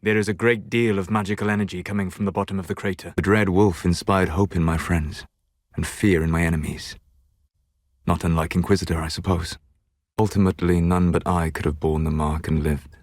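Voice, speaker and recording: A male actor playing a learned, grave and dryly scornful elven mage in a video game, speaking in measured, formal, articulate lines. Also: deep voice, fairly even intonation, dry recording, clean studio sound, lightly acted. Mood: calm, reflective. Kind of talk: dramatic game dialogue lines.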